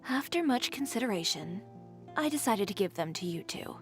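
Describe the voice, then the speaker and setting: A woman voicing a confident, sharp-tongued tailor in a game, talking with dry teasing wit. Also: mid-range voice, expressive, moderate pace, soft background music, lightly acted. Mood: sincere.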